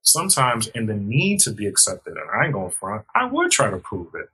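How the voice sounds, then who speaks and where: Husky, bright-voiced man talking candidly. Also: fairly low pitch, wildly swooping pitch, brisk, rough recording. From Dominican heritage, grew up in the US. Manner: candid, reflective, casual slang, many restarts. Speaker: guest. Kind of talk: casual podcast conversation.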